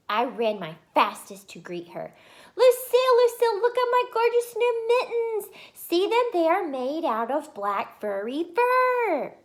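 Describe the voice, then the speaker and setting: Woman playing an excitable, high-pitched little schoolgirl. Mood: loud, excited bragging. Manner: childish grammar, emphatic, gushing. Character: excitable, boastful little schoolgirl narrating her day. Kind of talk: first-person children's storybook read-aloud.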